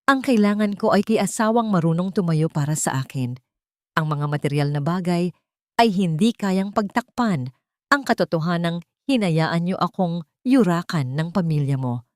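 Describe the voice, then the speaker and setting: A woman's voice: lowish voice, lively intonation, normal speed, no echo, clean studio sound, close to an everyday voice. A woman voicing a wounded, proud wife who speaks coldly and firmly in an audio story. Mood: cold, hurt. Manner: cold, firm, reproachful. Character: wounded young wife, cold, proud and resolute.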